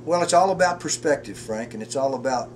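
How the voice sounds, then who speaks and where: Fifty-something guy thinking out loud on a porch, halting and sincere, mic far off, noisy outside. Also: mid-range voice, expressive. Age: 50s. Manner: earnest, halting, with frequent restarts. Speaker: porch conversation guest. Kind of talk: casual reflective chat. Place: outdoors on a front porch. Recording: distant mic with background noise.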